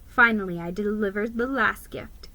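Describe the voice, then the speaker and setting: A woman giving a dramatic, expressive reading of a children's story, stumbling over the odd word. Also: mid-range voice, moderate pace. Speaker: storybook reader.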